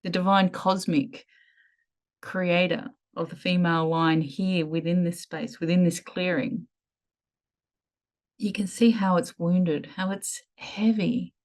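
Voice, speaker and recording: A woman leading a guided meditation in a soothing, measured way with lingering pauses. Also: lowish voice, steady tone, a little mellow.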